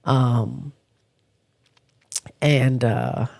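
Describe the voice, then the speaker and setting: Raspy woman host fighting off a cold, stuffy and hoarse, halting delivery with frequent throat-clearing, casual live chat with viewers. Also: a bass voice, mellow. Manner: halting, often stops to clear her throat. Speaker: livestream host. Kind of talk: casual livestream chat, reading viewer comments.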